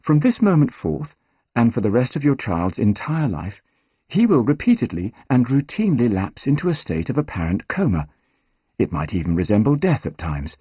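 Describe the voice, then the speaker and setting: A man's voice: mid-range voice, sing-song, moderate pace, husky, dry recording, dull audio. Man voicing a lilting, reassuring female doctor. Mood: reassuring, matter-of-fact. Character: reassuring female doctor, calmly delivering strange news.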